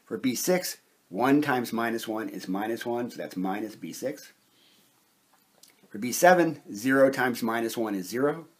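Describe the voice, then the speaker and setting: Guy with a husky mid-pitched voice explaining stuff methodically, like a chemistry lecture at a whiteboard. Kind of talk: step-by-step whiteboard lesson narration.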